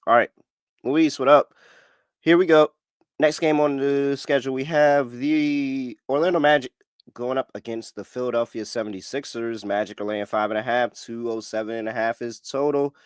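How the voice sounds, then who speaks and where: A man's voice: medium pitch, fairly even intonation, normal speed, clear, resonant tone, dry recording, clean studio sound. A man hosting a sports betting podcast, talking in a casual, slangy way. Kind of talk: casual chat, ad reads and betting picks.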